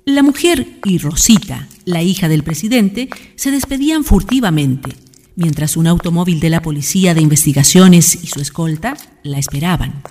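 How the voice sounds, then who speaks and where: A woman giving scripted narration for a historical radio drama in a measured, formal storytelling manner. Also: on the low side, lively intonation, a little mellow, a little hiss or hum.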